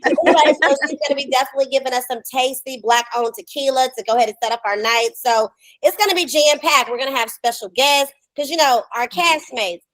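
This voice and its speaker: A woman's voice: sits high, fast talker, fairly bright tone, dry recording, poor audio. An American woman in her thirties hosting a podcast over video call, chatting casually and promoting with slangy, emphatic enthusiasm. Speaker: podcast host. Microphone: video call microphone.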